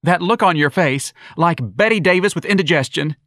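A man voicing a flamboyant, teasing young man who mocks in a high, gleeful voice. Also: normal speed, fairly bright tone, no echo, clean studio sound. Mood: catty. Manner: campy, mocking, shrieking.

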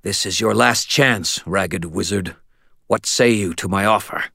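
A man voicing a courtly, businesslike male vampire in an audiobook, with a formal, measured delivery. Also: deep voice, gravelly, sharp tone, dry recording, studio quality, heavily acted. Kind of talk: audiobook character voice.

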